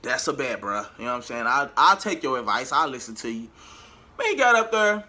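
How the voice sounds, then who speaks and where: A man telling a story in a casual vlog, animated and slangy, acting out bits of conversation as he goes. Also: medium pitch, a little fast. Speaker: vlogger and amateur singer.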